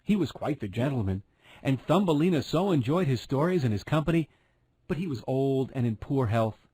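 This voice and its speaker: A man's voice: medium pitch, animated, moderate pace, mellow, slightly rough. A man narrating a fairy tale as a scripted storyteller.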